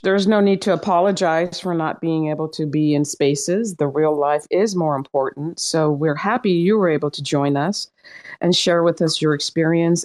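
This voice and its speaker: Lively, chatty middle-aged woman host. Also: sits low. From Iran. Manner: lively and chatty, laughs often. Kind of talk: casual chat hosting an online audio room.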